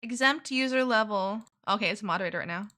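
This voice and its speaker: A woman's voice: mid-range voice, fast, bright, forceful tone. A woman streamer chatting casually with her viewers, bubbly and giggly, with a sore throat. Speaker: VTuber streamer. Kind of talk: casual live-stream chat.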